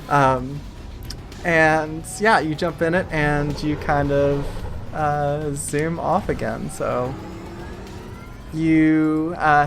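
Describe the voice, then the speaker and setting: A woman game master improvising narration for a tabletop game in a halting, conversational way. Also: sits low, slight background noise. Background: soft music.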